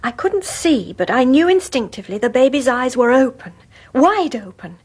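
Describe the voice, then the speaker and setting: A troubled young mother in a radio horror drama. Her voice sits fairly high and has a breathy huskiness. She speaks haltingly, with hushed, dramatic pauses and a haunted unease. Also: sing-song, exaggerated voice. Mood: haunted, uneasy. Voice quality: breathy, husky.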